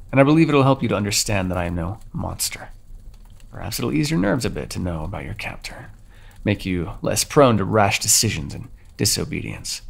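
A man voicing a brooding ancient male vampire who holds a human captive, speaking in a soft, measured, confiding way for an ASMR audio roleplay. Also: on the low side, vocal fry. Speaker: voice actor.

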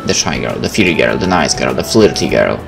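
A man's voice: rumbling low, very animated, brisk, gravelly. A man, a native Polish speaker, hosting a game let's play, reading aloud and commenting casually. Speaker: gaming video host. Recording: faint game music behind.

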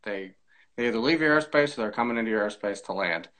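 A man in his forties speaking as an interview guest in a measured, explanatory way, giving practical advice on a video call. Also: lowish voice, animated, fairly bright tone. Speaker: air traffic controller, podcast guest. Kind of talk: conversational interview, explaining tips. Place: small indoor room, video call.

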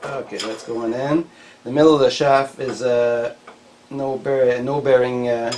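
A middle-aged man casually explaining shop work as a hobby machinist, in a halting, hands-on way. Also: medium pitch, steady tone, darkish tone. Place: cluttered garage machine shop. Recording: noisy workshop ambience.

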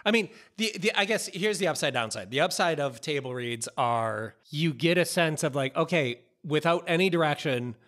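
A man's voice: fairly high pitch, animated, normal speed, hardly any pauses, fairly bright tone. A middle-aged man chatting casually as a podcast co-host, speaking into a close microphone. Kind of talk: casual chat. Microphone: close podcast microphone on arm.

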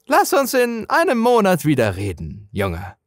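Man acting as a slow-talking, sly, mysterious older stranger. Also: high voice, wildly swooping pitch, dry recording, studio quality, voice pushed up, heavily acted. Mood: amused, sly. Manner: slow, drawn-out delivery. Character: mysterious older bearded man offering luck, slyly friendly. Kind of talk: horror audio story character acting.

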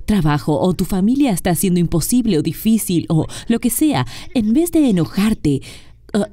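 A woman's voice: medium pitch, very animated, normal speed, dark tone, dry recording. A woman speaking as an interview guest, earnestly and emphatically explaining her points in a dubbed conversation.

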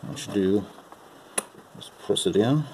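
A man with a low, gravelly voice gives casual, folksy instructions as a home mechanic. He speaks unscripted while working with his hands. There is faint noise behind him, as on an amateur video. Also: slow pace, darkish tone, rough recording. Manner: casual, folksy instruction, calling parts "her". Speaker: amateur mechanic giving a repair demonstration. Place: at a car's open engine bay.

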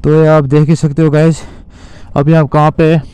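A man's voice: a little high, little pitch movement, normal speed, mellow, clear, resonant tone. A young man vlogging casually while riding a motorbike through city traffic, talking loosely and repetitively to his viewers. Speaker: moto vlogger.

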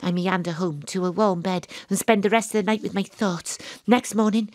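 An earnest teenage girl played by an actress tells her story in a radio drama. She is emotional and sincere, with a medium-pitched voice recorded very dry. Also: steady tone, normal speed, a little mellow, dry recording, natural voice. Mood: hushed, reflective. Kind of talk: audio drama monologue and dialogue.

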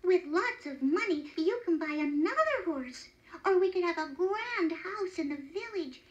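A woman acts out a woodcutter's wife from a children's tale. She is excitable and apt to scold, exclaiming in a very high voice. Her words come slowly and with emphasis. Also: highs cut off. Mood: excited. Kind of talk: children's storybook character reading.

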